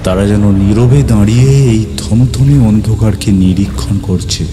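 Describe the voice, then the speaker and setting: A man narrating a ghost story in the first person, in a dramatic, suspenseful way with deliberate pauses. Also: fairly low pitch. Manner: suspenseful, theatrical, with deliberate pauses and repeated words. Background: music.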